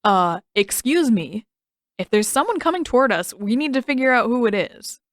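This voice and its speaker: A woman's voice: on the high side, animated, normal speed, no echo, clean studio sound, voice pushed up, natural voice. A woman voicing a jumpy, skeptical teenage boy in a story reading, blustery and wary. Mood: wary, insistent. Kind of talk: character voice in a fan fiction reading.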